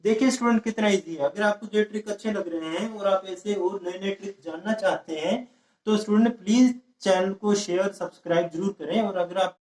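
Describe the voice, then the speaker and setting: Male tutor, high pitched, patient and repetitive, roomy echo. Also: a little fast, a little mellow, a little hiss or hum. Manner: repeats steps and keeps asking students if it is clear. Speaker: exam-prep math teacher. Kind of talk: whiteboard lesson explaining age problems. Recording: echoey room.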